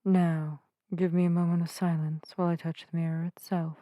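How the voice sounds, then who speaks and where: A woman playing a gentle, earnest witch healer in an ASMR audio roleplay, soft-spoken and soothing with old-fashioned, formal phrasing. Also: lowish voice, level tone, brisk, no room sound, lightly acted. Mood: reassuring. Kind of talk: narrative ASMR roleplay addressed to the listener. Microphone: close microphone in a dry space.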